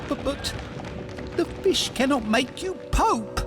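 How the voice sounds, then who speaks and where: A man's voice: very high-pitched, animated, slow, music in the background, full character voice. An actor playing a meek, reluctant, henpecked fisherman in a fairy tale audio drama, hesitant and pleading. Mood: anxious protest.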